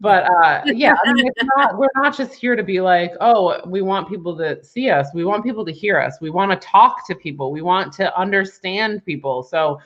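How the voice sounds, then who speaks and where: A woman in her thirties co-hosting a casual chat, rambling with quick asides and giggling often. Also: medium pitch, fairly bright tone. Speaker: podcast co-host. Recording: dull lo-fi sound.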